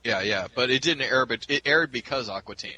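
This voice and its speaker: Man, bright, rambling, laughing, restarts, faint background hiss. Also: medium pitch, a little fast, rough recording. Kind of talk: casual fan chat.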